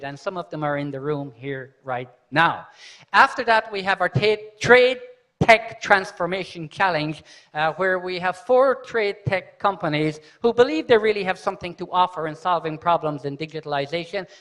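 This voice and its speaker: A man speaks from a conference stage in a high, bright voice. He tells his story with animation, often pausing to check in with the hall. His tone is forceful and crisp. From Ireland. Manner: animated storyteller, repeats "okay?" and "fantastic". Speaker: conference host. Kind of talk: live conference speech with anecdotes.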